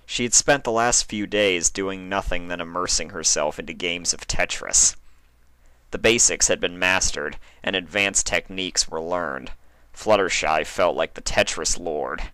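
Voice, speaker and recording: A man's voice: sits low, sharp tone, no room sound. A man narrating a written story as a dramatic reading. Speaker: fan fiction reader.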